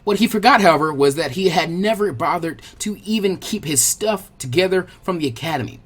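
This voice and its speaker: A man reading a story aloud as an expressive, colloquial audiobook narrator, with a husky voice. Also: high-pitched, fairly quick. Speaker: fan fiction audiobook narrator.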